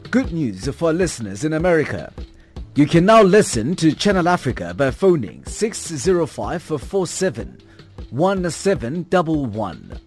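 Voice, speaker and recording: Male announcer, fairly high, very animated promo read over soft music. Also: normal speed. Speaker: station announcer.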